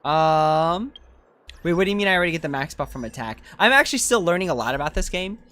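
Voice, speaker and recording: A game streamer doing casual commentary, thinking out loud and chatting in slang while reading viewer comments. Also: mid-range voice, lively intonation, quick pace.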